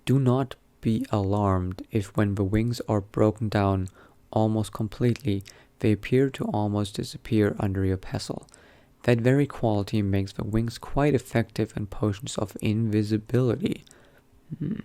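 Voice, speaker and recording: Low-voiced man musing in character. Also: moderate pace, dark tone. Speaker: roleplay gamer narrating in character. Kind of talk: in-character game roleplay, reading aloud and musing.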